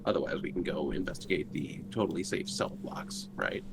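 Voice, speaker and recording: A man's voice: rumbling low, a little fast, noisy background. A man playing a tabletop roleplaying game, talking casually with the group.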